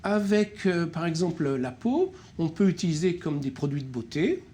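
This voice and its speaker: A middle-aged French-speaking man, co-director of a nonprofit, answering interview questions with many pauses, fillers and restarts. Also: fairly high pitch, expressive, mellow.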